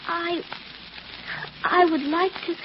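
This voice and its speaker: A woman's voice: very high voice, fairly even intonation, slow pace. An actress playing a sensitive, emotional young girl in 1940s radio drama style. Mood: nervous. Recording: muffled, noisy old radio broadcast.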